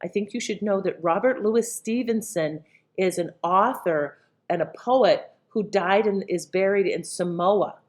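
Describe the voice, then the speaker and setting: A woman's voice: mid-range voice, measured pace. A woman giving an informal, conversational explanation as a presenter. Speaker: presenter introducing an old radio play. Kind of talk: casual explanatory talk.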